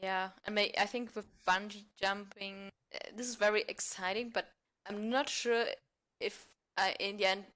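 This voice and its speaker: A woman's voice: medium pitch, steady tone, normal speed, bright, forceful tone. A woman in her twenties from southern Germany, a native German speaker, chatting casually as an interview guest. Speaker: interview guest, amateur actor. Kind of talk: casual chat.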